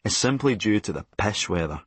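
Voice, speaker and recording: A Scottish man reads a comic memoir in a fairly low, husky voice. His delivery is sardonic and blunt, with pitch that swings widely for dark comic effect. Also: lively intonation, fairly quick, dry recording. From Scotland. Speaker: comedian narrating a memoir audiobook. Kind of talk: scripted comic memoir narration.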